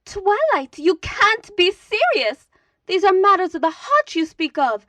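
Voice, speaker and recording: A woman's voice: very high voice, very animated, unhurried, sharp tone, no echo, heavily acted. A woman voicing a refined, dramatic female pony with a theatrical, formal way of talking, in a scripted story reading. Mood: indignant.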